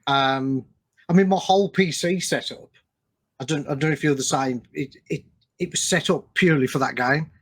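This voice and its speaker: A man hosting a casual interview podcast, talking haltingly and repeating his words as he goes. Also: on the high side, slightly rough. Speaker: podcast host.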